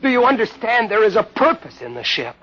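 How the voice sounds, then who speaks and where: Intense, husky young rebel. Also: male voice, sits high, sing-song, a little fast, pushed and bright, exaggerated voice. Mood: urgent pleading. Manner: intense, pleading. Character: earnest, urgent young man, a convicted heretic. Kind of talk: 1950s radio drama acting. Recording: dull lo-fi old radio recording.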